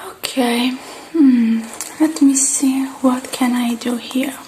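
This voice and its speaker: A woman playing a gentle, polite repair technician in a soft-spoken ASMR roleplay, murmuring and sometimes whispering in an unhurried way. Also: sits high, fairly even intonation, a little hiss or hum, low-quality recording. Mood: calm, focused. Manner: soft, unhurried, murmuring to herself while working.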